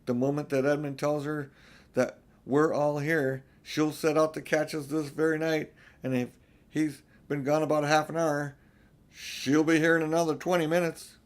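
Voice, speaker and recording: A man voicing a motherly, practical female beaver from a storybook, reasoning in a measured way. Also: fairly high pitch, flat delivery, close to an everyday voice. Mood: shrewd warning. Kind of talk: storybook character voice in an audiobook.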